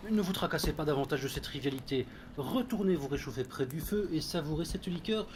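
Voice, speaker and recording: An actor playing a fussy, devoted butler in an audio drama, speaking in a formal, wordy, deferential way. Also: male voice, fairly high pitch, lively intonation, brisk, background noise, close to an everyday voice. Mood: placating.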